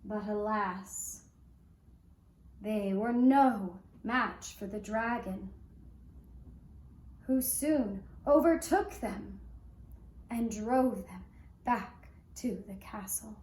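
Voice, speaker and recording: A woman narrating a children's fairy tale as a puppet show storyteller, deliberately, with long pauses between phrases. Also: medium pitch, a little mellow. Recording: roomy, echoey sound.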